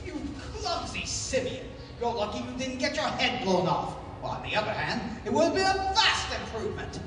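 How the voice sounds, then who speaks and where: An actor playing a fussy, sharp-tongued gentleman adventurer in a 1930s-style radio serial. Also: very high voice, very animated, normal speed, noisy background. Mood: scornful. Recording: distant echoey hall, music underneath.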